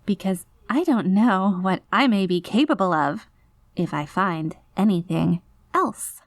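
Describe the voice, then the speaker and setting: Woman acting as obsessive yandere girlfriend, audio drama, mid-pitched, saccharine and over-polite, veiled threat, tightly held panic, very dry recording. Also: animated, slow, pauses often, no room sound. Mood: sweetly menacing. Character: obsessive reformed yandere girlfriend, sweetly menacing, barely holding back panic. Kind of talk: scripted audio roleplay, voicemail monologue.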